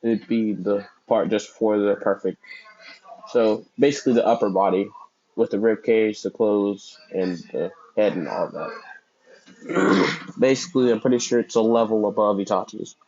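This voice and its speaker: Young man, low mellow voice, rambling fan-story narrator, dull lo-fi mic. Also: moderate pace, slightly rough. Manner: rambling, self-correcting, many fillers. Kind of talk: casual unscripted storytelling.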